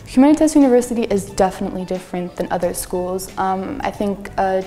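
A woman's voice: mid-range voice, fast, warm tone. A young woman student from the United States, speaking earnestly in a testimonial interview on a lapel mic. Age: young adult. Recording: reverberant hall with light music.

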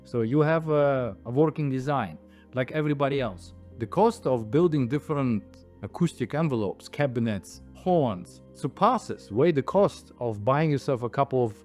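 A man in his thirties or forties, an audio designer, explaining products to camera on a lapel mic in a halting, emphatic way. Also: mid-range voice, lively intonation, darkish tone. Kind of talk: explaining products to camera, semi-scripted talk. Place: indoor audio showroom. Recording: music playing behind the voice. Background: music.

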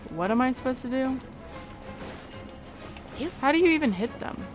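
A woman's voice: sits high, expressive, moderate pace. A young woman giving casual, informal playthrough commentary, chattily thinking aloud as she plays. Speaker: gaming video creator. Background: game music.

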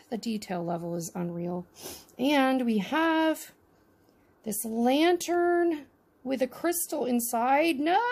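A woman's voice: mid-range voice, gravelly, darkish tone. A woman casually narrating a miniature unboxing with chatty, wry asides. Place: indoors at a tabletop.

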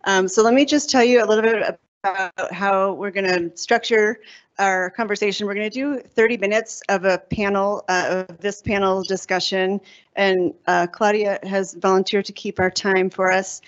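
A woman's voice: mid-range voice, fairly even intonation, normal speed. Woman moderator explaining the plan. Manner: organized, explains the plan step by step. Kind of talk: moderating a panel. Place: hotel conference room with an audience. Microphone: handheld microphone. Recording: dull lo-fi sound.

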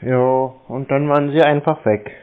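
A man in casual, deadpan phone banter, taunting and mocking as a scam-baiting prankster. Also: medium pitch, moderate pace, clear, resonant tone. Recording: thin phone-line sound.

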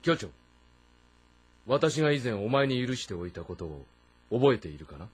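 An actor playing a shrewd, commanding middle-aged warlord of ancient China in a game drama, speaking in a measured, brooding way. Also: male voice, mid-range voice, very animated, husky. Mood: quietly menacing. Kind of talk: Japanese historical drama game acting. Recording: music behind the voice. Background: background music.

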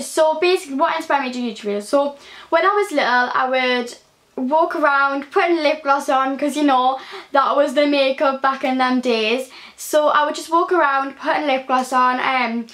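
A teenage girl from the North East of England speaks in a broad Geordie accent. Her voice sits high, and she rambles cheerfully, filling her answers with "um" and "like". She is recorded up close on a phone in a small bedroom. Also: a bit bright. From Newcastle, England. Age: early teens. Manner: chatty, many ums and likes, gestures while talking. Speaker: beauty vlogger. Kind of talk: casual Q&A vlog. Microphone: phone camera at close range.

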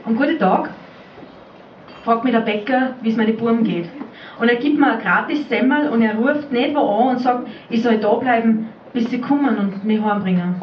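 A woman's voice: a little high, moderate pace. A woman with an Austrian accent performing a spoken-word dialect piece at a poetry slam, speaking as an elderly rural mother. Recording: roomy, distant, muffled live recording, faint noise.

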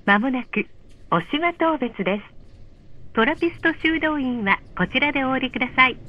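Polite lady doing train announcements, highish voice, kinda dull speaker audio. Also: expressive, slight background noise. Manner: polite, measured, clearly enunciated. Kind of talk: scripted automated train announcement. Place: played over train car speakers.

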